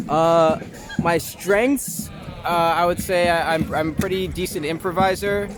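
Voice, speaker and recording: A man's voice: fairly high pitch, measured pace, over music. A young man chatting casually and jokingly in a slangy, informal street interview. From Long Island, New York, United States. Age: young adult. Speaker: interviewee, actor and improviser. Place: outdoors in a city street. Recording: noisy outdoor city street with traffic.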